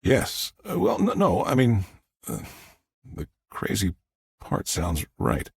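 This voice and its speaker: A man's voice: fairly low pitch, wildly swooping pitch, creaky, breathy edge, no echo, pitched above the performer's own voice, full character voice. A man playing a rattled, grieving adult son who stammers and halts through his lines in an audiobook. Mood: flustered. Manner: stammering, halting, flustered. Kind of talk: audiobook character dialogue.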